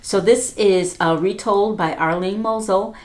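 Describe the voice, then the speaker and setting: A woman's voice: medium pitch, measured pace, a little mellow, echoey room. A woman reading a children's picture book aloud as a teacher, with chatty asides to young listeners. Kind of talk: storybook read-aloud.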